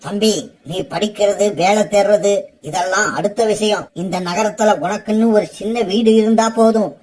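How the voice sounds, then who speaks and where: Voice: mid-range voice, steady tone, normal speed, a little mellow, close to an everyday voice. An actor voicing an adult bird parent in a children's cartoon, earnest and stern. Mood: sceptical.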